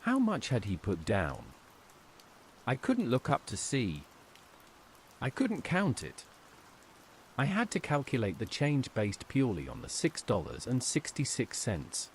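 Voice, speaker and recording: A man's voice: mid-range voice, wildly swooping pitch, a little slow, long pauses, a little hiss or hum. A man reading a scripted first-person horror story in a dramatic, suspenseful way.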